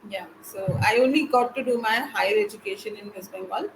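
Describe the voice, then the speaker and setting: A woman's voice: mid-range voice, a little fast, gravelly, slight background noise, poor audio. A young woman, a tutor asking friendly, curious questions. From India. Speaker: English tutor. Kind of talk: casual online conversation.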